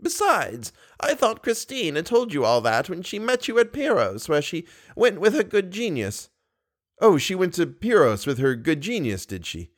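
A man's voice: sits high, huge pitch swings, normal speed, voice pushed up, full character voice. A man reading aloud, voicing a kindly, bedridden old woman who speaks with simple, cheerful faith. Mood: earnest.

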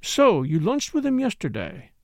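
A man voicing a languid, blunt young society woman who speaks in a slow drawl, in an audiobook. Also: high-pitched, huge pitch swings, gravelly, dry recording, studio quality, pitched above the performer's own voice, heavily acted. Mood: probing.

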